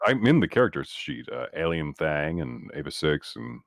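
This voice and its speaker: A man's voice: extremely low pitch, sing-song, moderate pace, vocal fry, no echo, studio quality. A man chatting casually as a player in a tabletop game, with a blunt, wry, sweary manner.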